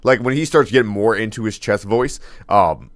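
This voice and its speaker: A man with a deep baritone voice reacting casually to a song, laughing often and rambling with fillers and restarts. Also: normal speed, dry recording, studio quality. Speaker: music reaction host.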